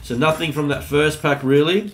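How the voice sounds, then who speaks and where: A man, hosting a casual vlog where he opens trading card packs. His voice is slightly husky from a recent bug, and he talks in an upbeat, chatty way. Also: fairly high pitch, steady tone, moderate pace, faint music behind. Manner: upbeat, hype-building, chatty. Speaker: card-opening YouTuber host. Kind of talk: casual pack-opening vlog. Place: home room with colored lights. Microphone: studio mic on a desk arm.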